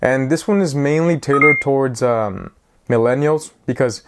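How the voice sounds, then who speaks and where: A man in his twenties chats at an ordinary middle pitch, wandering off on tangents. He is casual and a little self-mocking, like a vlogger talking straight to his audience. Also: normal speed, heavy background noise. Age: 20s. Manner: rambling, self-mocking, chatty. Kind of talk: casual talk to camera.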